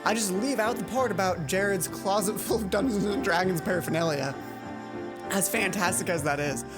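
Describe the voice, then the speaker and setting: A woman's voice: mid-range voice, normal speed. Let's play host, woman, chatty and sweary, casual commentary over game music. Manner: chatty, swears, excitable asides.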